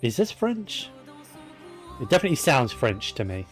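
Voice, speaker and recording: A man's voice: medium pitch, big pitch swings, normal speed. A man giving casual, informal game commentary in short reactions as a streamer. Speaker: gaming streamer. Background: faint game music.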